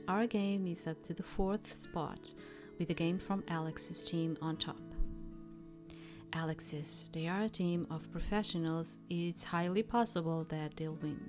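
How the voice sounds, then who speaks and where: A woman streamer reading visual novel text aloud as narration, with casual asides. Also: fairly low pitch.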